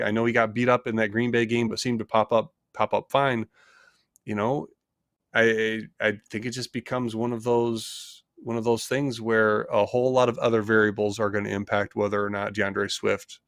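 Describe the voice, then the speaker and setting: A man's voice: lowish voice, flat delivery, normal speed, fairly bright tone, no room sound, studio quality. A man co-hosting a casual sports talk show, rambling with many restarts and hedges. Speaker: co-host.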